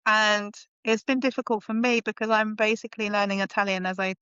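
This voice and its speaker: A woman guest on a podcast who chats casually and thinks aloud, often restarting her sentences. Her voice is smooth and clear at a medium pitch. The audio is dry and a little dull. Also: fairly even intonation, a little fast, a bit bright, no echo. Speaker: podcast guest, marketing consultant. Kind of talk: casual conversation.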